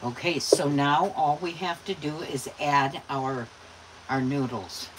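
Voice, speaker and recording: A chatty woman shows her viewers around as she cooks at home. She talks into a phone camera propped on the kitchen counter, and a loud hiss of background noise runs under her voice. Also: low-pitched, steady tone, slow. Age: 60s. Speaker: cooking vlogger. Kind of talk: casual cooking demo.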